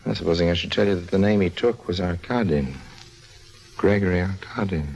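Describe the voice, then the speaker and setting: A man's voice: low-pitched, fairly quick, gravelly, a little mellow. An actor playing a brash, shady man who narrates his own 1950s radio noir story in a wry, slangy, offhand way. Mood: guarded, informing. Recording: vintage 1950s radio drama.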